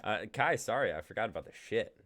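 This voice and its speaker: Animated young stream host. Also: medium pitch, quick pace. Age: young adult. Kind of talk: casual group chat.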